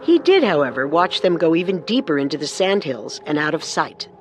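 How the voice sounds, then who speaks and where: A woman co-narrating a scripted true-crime story with measured, dramatic delivery. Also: low-pitched, sing-song, slight background noise.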